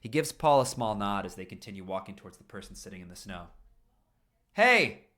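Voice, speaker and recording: A man's voice: low-pitched, quick pace. A man reading a story aloud expressively on a stream, now and then tripping over a phrase and repeating it. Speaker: fan fiction reader on a livestream.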